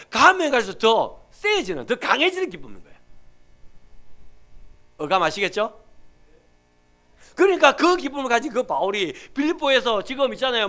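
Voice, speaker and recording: A male pastor with a Gyeongsang accent preaching a Sunday sermon, emphatic and swinging from loud to hushed. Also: very high voice.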